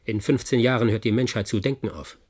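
An actor playing an embittered, defiant scientist in a German radio drama, with a husky, breathy voice and a grave, intense delivery. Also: male voice, mid-range voice, fast talker, a little mellow, lightly acted. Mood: bitter, defiant.

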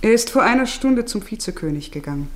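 Frau spielt ernste, bittere junge Adlige. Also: female voice, on the high side, animated, quick pace, close to an everyday voice. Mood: grave. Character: grave, bitter young noblewoman and mother. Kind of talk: 1950s German radio drama acting.

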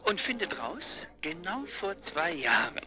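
A man's voice: sits high, sing-song, a little slow, bright, forceful tone, exaggerated voice. An actor playing a chatty, eager male investigator reporting his findings in a naturalistic radio drama. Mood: eager, informative.

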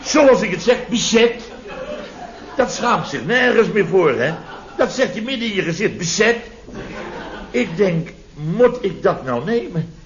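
A pompous, indignant building boss in a radio comedy. His voice sits rather high and leaps about animatedly as he blusters and repeats himself. The recording is old and dull. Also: animated, unhurried, crowd noise. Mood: indignant storytelling.

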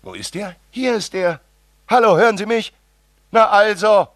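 An actor playing a tormented young writer taping an audio diary, agitated and halting, in a theatrical monologue with a husky voice. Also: male voice, high-pitched, a bit bright. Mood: mock-oratorical, manic. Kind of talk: dramatic monologue in an audio drama.

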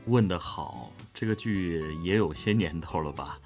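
A man with a low voice chats as a guest in an unhurried, bantering way. Soft music plays behind him. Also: expressive. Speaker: voice actor guest. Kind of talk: casual chat.

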